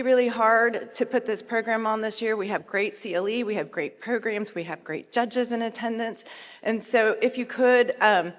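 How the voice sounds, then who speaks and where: A woman with a slightly high voice welcomes the crowd as emcee from a podium microphone. She sounds upbeat and gracious, thanking people throughout. Her voice carries a long reverb from the large hall. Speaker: meeting co-chair and emcee. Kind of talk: formal welcome speech.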